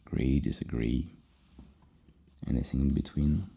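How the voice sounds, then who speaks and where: A soft-spoken ASMR host playing a psychologist, musing in a halting way and repeating words. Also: rumbling low, gravelly, husky.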